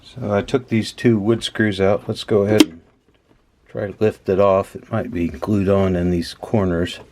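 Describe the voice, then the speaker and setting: A man with a low voice talks through things in a casual, explanatory way. He often pauses mid-phrase, as if working it out while he speaks. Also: steady tone, noisy background. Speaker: host of a vintage electronics repair channel. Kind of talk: casual explanatory hands-on commentary.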